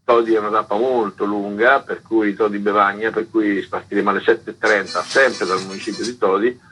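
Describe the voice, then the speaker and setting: A man's voice: on the low side, steady tone, brisk, pushed and bright, breathy edge, low-quality recording. A man explaining things informally as an interviewed organiser, in a rambling, colloquial way. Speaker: event organiser being interviewed.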